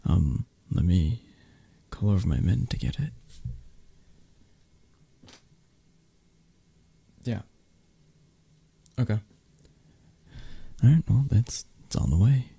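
A man playing a possessive, flirty mafia boss who dotes on his lover, murmuring softly and intimately in an ASMR romantic roleplay, with a breathy, husky, hesitant and reassuring delivery. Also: fairly low pitch, big pitch swings, unhurried, pauses often, dry recording, exaggerated voice. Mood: gentle, caring.

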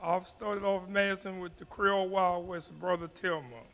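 High bright-voiced man in his nineties. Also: fairly even intonation, vocal fry. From New Orleans, Louisiana. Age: 90s. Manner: halting, repeats words when starting. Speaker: panel guest, Mardi Gras Indian elder. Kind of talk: answering interview questions. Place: indoor community hall. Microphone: handheld mic. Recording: long echo in a hall.

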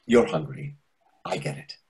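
A man's voice: unhurried, darkish tone, natural voice. A man playing a vain, catty, sarcastic waiter of about forty in a readers theatre comedy over a video call. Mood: huffy.

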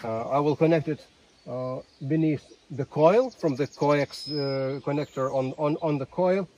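A man gives a casual demonstration to camera in a halting way, repeating words, recorded outdoors in a field. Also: on the high side, warm tone, dull audio. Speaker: ham radio vlogger. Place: outdoors in a grassy field beside a van.

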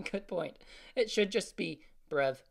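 A woman's voice: mid-range voice, animated, slow, vocal fry, exaggerated voice. A woman playing a confident, teasing older brother, a boy, in a family audio drama. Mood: teasing, amused. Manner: joking, teasing.

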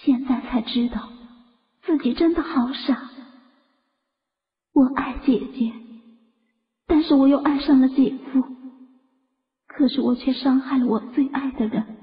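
Audio drama: remorseful young woman actress performing, high voice, sorrowful confession, slightly dull sound. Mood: anguished, remorseful. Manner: slow, sorrowful confessional delivery. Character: heartbroken guilt-ridden young woman reading her farewell letter. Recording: muffled sound with long reverb.

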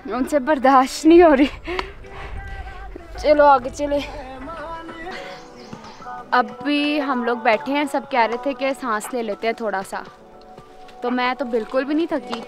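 A woman's voice: sits high, steady tone, unhurried, pauses often, over music. Upbeat young woman vlogging on a hike. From Pakistan. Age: young adult. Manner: chatty and upbeat, breathless at times from hiking. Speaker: travel vlogger. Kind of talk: casual travel vlog narration to camera. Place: outdoors on a forest hiking trail and hilltop meadow. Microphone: handheld camera held close.

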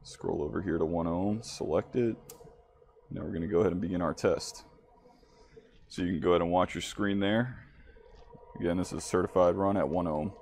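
Deep-voiced man presenting a product test. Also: moderate pace, creaky, long pauses, darkish tone, slight background noise. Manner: informal, addressing viewers directly. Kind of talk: casual product demo narration.

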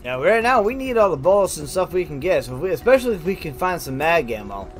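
A man's voice: fairly high pitch, very animated, fast talker. A man giving casual, informal gameplay commentary as a let's play host, thinking aloud and reacting as he goes.

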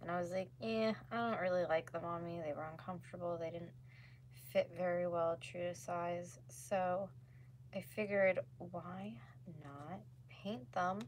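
A woman talks casually to her livestream viewers while she crafts. Her voice sits fairly low and sounds a little hoarse. The recording is a homemade one in a small room, with noticeable noise behind her. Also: steady tone, a little slow. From North America. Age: 20s. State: slightly hoarse. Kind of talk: casual chat while painting. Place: small room at home, indoors. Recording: clearly noisy home livestream recording.

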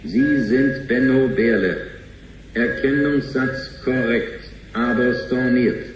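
Slow, echoing computer voice, acted by a man. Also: mid-range voice, noisy background, lightly acted. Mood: impassive. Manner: clipped, procedural, emotionless announcements. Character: formal bank computer answering voice commands. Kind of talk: sci-fi radio play. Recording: muffled, echoing, music behind.

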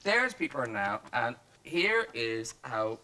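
A man presenting a TV chat show in a studio, bubbly, giggly and hurried as he talks to his guest. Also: medium pitch, very animated, pushed and bright, poor audio.

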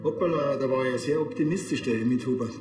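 A man's voice: mid-range voice, fast, reverberant, music in the background, lightly acted. An actor playing a blunt, sarcastic country policeman with a Bavarian accent and a dry, needling manner.

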